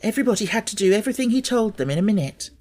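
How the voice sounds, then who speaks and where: Storybook role: curious, direct little English miss, slightly high voice; played by a man. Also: expressive, racing, darkish tone. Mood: thoughtful. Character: forthright, inquisitive young English girl.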